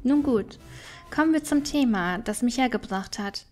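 A small fox-like sage from a fantasy game, read aloud by a woman, talks in a slightly high voice. The creature is courteous and inquisitive, with formal phrasing. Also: animated, normal speed, a little mellow, soft background music, close to an everyday voice. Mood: earnest.

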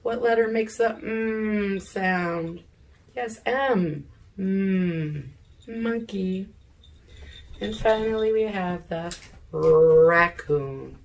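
A middle-aged woman, an elementary teacher leading a read-aloud lesson for young children, speaking deliberately, sounding out words and asking questions. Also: medium pitch. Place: living room at home, seated in an armchair.

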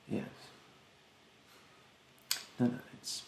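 A young man doing a soft-spoken ASMR roleplay in a breathy, hushed voice, politely snide and condescending with drawn-out, hesitant phrasing. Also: roomy sound, a little hiss or hum. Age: young adult. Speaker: ASMR performer playing a rude facial therapist. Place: bedroom, indoors.

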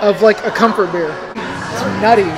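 A man in his thirties co-hosting a beer tasting vlog, talking in a casual, excitable, profane and joking way. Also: high-pitched, moderate pace. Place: busy brewery taproom. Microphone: split-screen phone cameras at arm's length. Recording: loud music and crowd behind.

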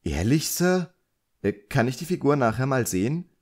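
A polite young apprentice boy from a fantasy audiobook, put on by a male reader. The voice is lifted in pitch, eager and deferential toward his master. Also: big pitch swings, moderate pace, darkish tone. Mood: eager, curious. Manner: deferential, curious.

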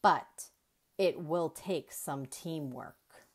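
A woman's voice: low voice, extremely slow, heavily acted. A woman voicing an eager young boy turtle in a children's storybook, speaking earnestly. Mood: excited, eager. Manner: earnest, expressive storybook dialogue. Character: eager young boy turtle who loves playing soccer.

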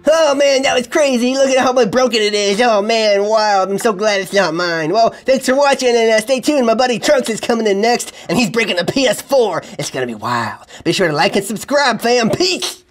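A man's voice: extremely high pitch, animated, normal speed, hardly any pauses, faint music behind. A man acting in a comedic kids' skit, talking in a goofy, overeager, playful way. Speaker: skit actor and mock video host.

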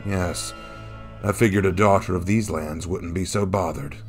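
A man voicing a stoic, blunt wandering warrior with a gruff way of talking, in a fantasy story. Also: sits low, normal speed, soft background music, natural voice. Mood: somber, gruff. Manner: blunt, terse, calm.

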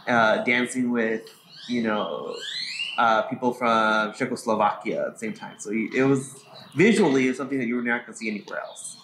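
A man's voice: medium pitch, a bit bright. A man hosting a casual conversational interview, reflective and appreciative, peppering his talk with "like" and "you know". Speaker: host. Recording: echoey space, faint background noise.

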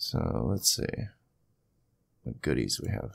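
Guy doing a laid out step by step pc tutorial, thinking out loud, gravelly, really dry close sound. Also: very deep voice, animated, slow pace, dry recording. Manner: informal, thinking aloud. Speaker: tutorial host. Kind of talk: casual screen-capture software tutorial.

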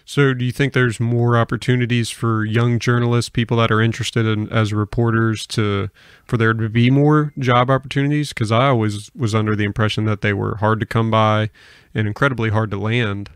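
A man's voice: fairly low pitch, flat delivery, brisk, clean tone, no room sound. A young man hosting a podcast, asking questions in casual conversation, close on the mic. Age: young adult. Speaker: podcast host, interviewer. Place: small indoor room with bookshelves. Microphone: close podcast mic on boom arm.

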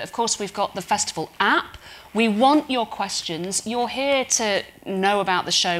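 A woman hosts a festival panel in front of a packed audience. She is lively and teasing, and she leads the talk with easy chat. The soft murmur of the crowd sits behind her mic. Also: medium pitch. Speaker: panel host. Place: stage before a large live audience.

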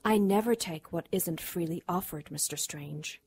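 A mysterious femme fatale with a lowish, husky voice. She is regal and self-assured, speaking with measured formality in the manner of noir radio drama. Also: a little mellow. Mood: cool, guarded. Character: mysterious, self-assured seductive female client, regal and discreet.

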